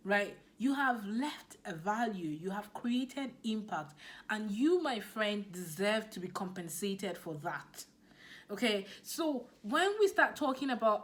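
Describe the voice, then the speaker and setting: A woman with a Nigerian accent giving a motivational business talk to camera, emphatic and repeating her key points. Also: mid-range voice. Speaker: business coach.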